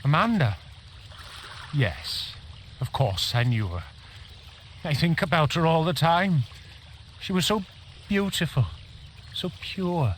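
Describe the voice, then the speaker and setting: An actor playing an earnest, sorrowful, lovelorn commoner in a melodramatic fantasy game. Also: male voice, on the high side, animated, slow pace, long pauses, a little hiss or hum. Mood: wistful. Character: wistful, grieving lovelorn commoner man, gentle and earnest.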